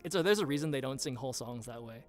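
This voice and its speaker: A man's voice: mid-range voice, animated, very fast, faint music behind. A man co-hosting a livestream singing lesson. He talks casually, passes on viewer questions and shares his own tips. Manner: casual, reads out viewer questions, eager reactions. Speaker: co-host.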